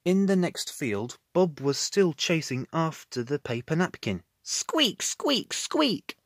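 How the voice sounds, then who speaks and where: A man narrating a children's storybook in an expressive reading style. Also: on the high side, unhurried, dry recording, clean studio sound.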